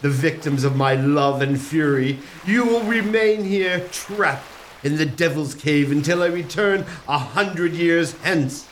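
Man acting a high-pitched, theatrical ghostly sea captain. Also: unhurried, a little hiss or hum, lightly acted. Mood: doomful, commanding. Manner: theatrical, declamatory. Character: ghostly cursed sea captain, tormented, possessive villain. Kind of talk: gothic comedy audio drama acting.

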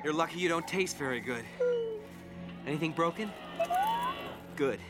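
A man's voice: a little high, animated, normal speed, over loud music, lightly acted. An actor playing an earnest, impatient young male space adventurer in a radio drama. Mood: relieved.

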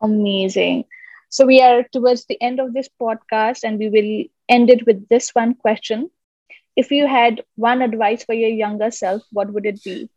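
Woman podcast host, high-ish voice, super encouraging, kinda muffled lo-fi audio. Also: fairly even intonation, normal speed, no echo. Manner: warm, encouraging, effusive praise. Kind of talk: friendly podcast hosting.

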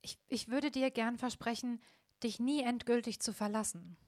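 A kind young heroine, voiced by a woman reading a fairy tale, speaking a little higher than most women. Her lines come out earnest and heartfelt, recorded dry and close. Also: normal speed, a little mellow, dry recording, close to an everyday voice. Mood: pleading. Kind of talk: storybook reading.

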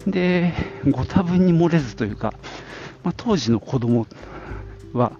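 A man's voice: fairly high pitch, animated, a little slow, dark tone, muffled recording, soft background music. A middle-aged man from the Nagoya area of Japan, talking casually on his own as a podcast host, in an informal, rambling way with little asides. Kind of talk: casual solo reminiscing.

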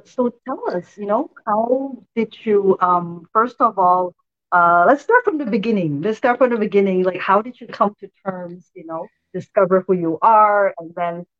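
A woman's voice: medium pitch, lo-fi sound. Enthusiastic woman podcast host. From Malaysia. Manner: enthusiastic, effusive. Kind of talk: interview, spiritual talk show.

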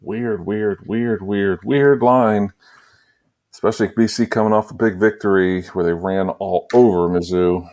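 A man's voice: on the low side, fairly even intonation, a little slow, darkish tone. A man hosting a casual sports talk podcast with a joking, teasing manner. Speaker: podcast host.

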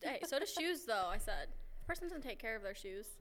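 Bright, slightly high woman. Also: very animated, quick pace. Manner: chatty, giggly storyteller. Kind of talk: casual chat.

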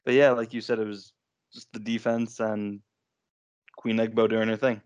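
A man's voice: lowish voice, flat delivery, normal speed, darkish tone. A young man co-hosting a sports podcast in a casual, conversational style.

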